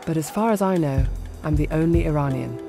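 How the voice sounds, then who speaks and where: A woman in her forties who grew up in Iran, presenting a documentary in calm, reflective first-person narration. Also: low-pitched, a little fast. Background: music under the narration.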